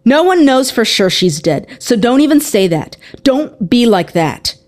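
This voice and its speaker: A woman's voice: a little high, big pitch swings, measured pace, darkish tone, voice pushed up. A woman voicing a young, protective older sister in a story, earnest and insistent, with pleading emphasis.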